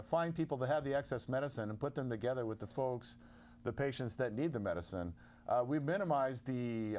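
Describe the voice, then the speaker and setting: A man co-hosting a call-in show, explaining in a measured way with many uhs. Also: mid-range voice, a little mellow, a little hiss or hum. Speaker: co-host. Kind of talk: casual call-in chat.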